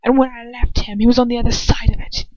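Give me a woman doing a cruel mocking male wizard villain, hissing and high, muffled and dry. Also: little pitch movement, quick pace, a little mellow, no room sound, lightly acted. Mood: sneering. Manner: shrill, sneering, hissing. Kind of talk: amateur fan fiction reading. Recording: dull muffled sound.

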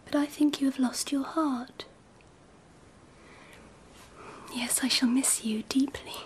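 An actress playing a gracious, teasing and wistful Empress in a radio drama, speaking softly and often close to a whisper, in a gentle, measured way. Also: female voice, high-pitched, steady tone, a little hiss or hum, lightly acted. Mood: tender, wistful. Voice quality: soft, breathy, often near whisper. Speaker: actor.

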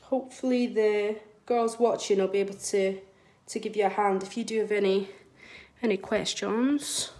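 A woman's voice: medium pitch, steady tone, normal speed, darkish tone. Scottish woman chatting through a live demo. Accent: mild Scottish. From Scotland. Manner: chatty, reads out viewer comments while working. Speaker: nail artist. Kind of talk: live tutorial demonstration. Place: indoor nail studio desk. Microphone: phone camera over the work table.

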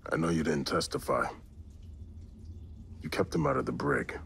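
A man playing a steady, reassuring soldier in a video game, speaking in a gravelly voice. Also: rumbling low, moderate pace, exaggerated voice. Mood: grave, supportive. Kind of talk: video game cutscene acting.

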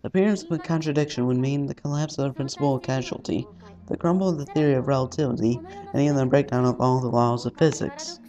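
A man playing a visual novel, reading its text aloud haltingly with casual, sweary commentary. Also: medium pitch, dark tone. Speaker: let's-player. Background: faint game music.

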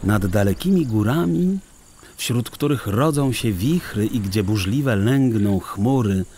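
Slow, expressive male storybook narrator. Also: lowish voice, slight background noise. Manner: literary, theatrical, deliberate phrasing. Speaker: audiobook narrator. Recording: music under the voice. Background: music.

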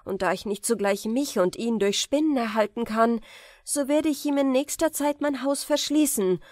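A woman's voice: a little high, moderate pace, darkish tone, no echo, clean studio sound, pitched above the performer's own voice, close to an everyday voice. Blunt, exasperated storybook mother, read by a woman. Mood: stern, fed up. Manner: stern, outspoken.

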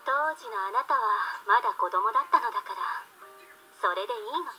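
A woman voice actor playing a composed, understanding mentor in a visual novel, with a measured, knowing manner, re-recorded from computer speakers. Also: a little high, animated, sharp tone, soft background music.

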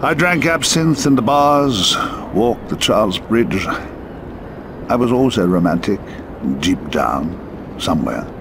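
An actor playing a weary, urbane old spymaster in a video game, musing theatrically and cryptically in drawn-out phrases. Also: male voice, fairly low pitch, very animated, background noise, full character voice. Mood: wistful. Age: older. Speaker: mysterious spymaster. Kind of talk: video game cutscene dialogue and narration.

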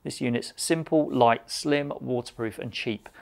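A middle-aged British man delivers a scripted product review to camera. His mid-pitched voice has a noticeable gravelly fry. He keeps the delivery conversational and matter-of-fact. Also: a little slow, darkish tone. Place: indoor studio with shop display shelves.

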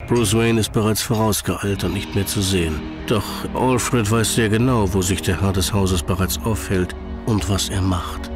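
A man's voice: on the low side, fairly even intonation, normal speed, natural voice. A man playing the solemn, dramatic narrator of a superhero audio drama. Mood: solemn suspense. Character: solemn male storyteller of a superhero tale. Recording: music under the voice.

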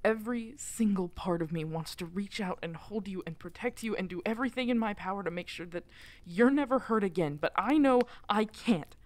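A woman playing a guilt-ridden, protective exiled werewolf alpha in an intimate ASMR audio drama, halting and emotional, often slipping toward a breathy whisper. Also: medium pitch, no echo, lightly acted. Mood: anguished pleading.

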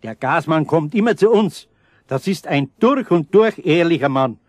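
An actor playing a kindly older carpenter with a Bavarian accent, grumbling good-naturedly. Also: male voice, fairly high pitch, lively intonation, normal speed, dry recording, clean studio sound. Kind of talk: 1970s radio play acting.

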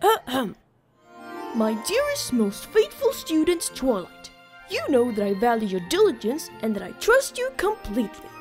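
Woman as a very high-pitched cheeky little boy dragon. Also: huge pitch swings, moderate pace, soft background music. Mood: reading aloud. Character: young boy dragon assistant, earnest, cheeky sidekick. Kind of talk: animated cartoon fan dub acting.

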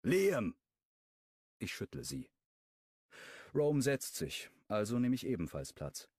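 A man with a low voice reads a first-person romance audiobook. His delivery is expressive, with pitch moving lively up and down. The sound is dry and close with no room around it. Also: a little slow, no echo. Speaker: audiobook narrator.

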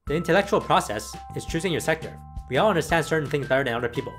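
Fast-talking male commentary narrator. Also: a little high, animated, a bit bright. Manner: plain explanatory delivery. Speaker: channel narrator. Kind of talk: scripted voice-over commentary. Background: background music.

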